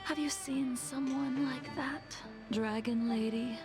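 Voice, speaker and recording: A woman playing a cold, weary, ageless swordswoman in a video game, breathy, solemn and formal. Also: sits high, fairly even intonation, slow. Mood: cool, intent. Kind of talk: English video game voice acting. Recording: game music behind the voice.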